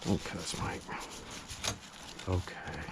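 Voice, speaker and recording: A man chatting casually and unscripted as he works on a repair for a vlog, slangy, half talking to himself and half to viewers, recorded on an action camera. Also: very slow. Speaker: amateur repair vlogger. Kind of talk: casual unscripted chat while working on a repair. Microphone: GoPro action camera. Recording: noisy action-camera audio.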